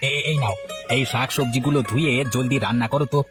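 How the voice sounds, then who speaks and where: A man's voice: mid-range voice, fast talker, close to an everyday voice. Cartoon role, male actor: homely village husband, eager to please, exclamatory and affectionate, music underneath. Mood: hungry, pleading. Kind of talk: cartoon story dialogue.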